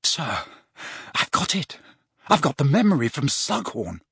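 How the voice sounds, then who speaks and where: A man's voice: a little high, huge pitch swings, a little slow, gravelly, bright, forceful tone, husky, no echo, studio quality, full character voice. A man voicing an impatient, earnest teenage wizard boy with a blunt manner in a storybook. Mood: eager, impatient.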